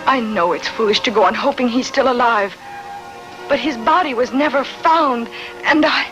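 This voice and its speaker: Woman acting forceful, imperious queen. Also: a little high, moderate pace, soft background music, lightly acted, rough recording. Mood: anguished longing. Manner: commanding, regal. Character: imperious, ruthless queen pining for lost lover. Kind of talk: film dub acting.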